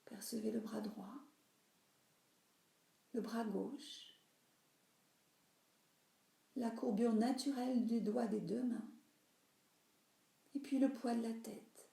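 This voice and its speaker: A woman yoga nidra teacher leading a guided relaxation meditation in a soothing, instructive way, with phrases that soften into breathiness. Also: a little high, fairly even intonation, takes it slowly, gravelly, pauses often. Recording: roomy, reverberant voice recording.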